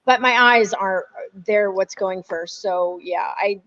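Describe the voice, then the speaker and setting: A woman's voice: medium pitch, takes it slowly, a bit bright, no echo. A woman in her late fifties talks over a muffled, lo-fi video call. She laughs often and agrees readily, with an easy conversational tone. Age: 50s. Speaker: guest resin artist. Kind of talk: casual live-stream chat.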